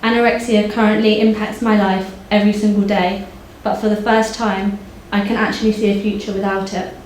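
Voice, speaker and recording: A woman's voice: medium pitch, little pitch movement, a little fast, darkish tone. A young woman giving a prepared speech and poetry recital on stage in a hall. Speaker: poet at own book launch. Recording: echoey hall, faint background noise.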